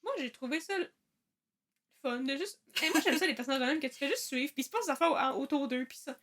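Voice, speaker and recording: Young woman w/ quebec accent, bit high voice, laid-back slangy podcast talk. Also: fast talker. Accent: Quebec French. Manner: relaxed, slangy, with fillers like "genre" and "comme". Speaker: podcast co-host. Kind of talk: casual chat.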